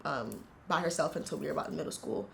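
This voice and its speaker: A woman in her twenties from the United States, telling her family story in an earnest, reflective interview, pausing often as she goes. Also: low-pitched, lively intonation, gravelly, slight background noise. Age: 20s. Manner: earnest, reflective, halting with frequent fillers. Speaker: interviewee, university student. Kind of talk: casual personal storytelling.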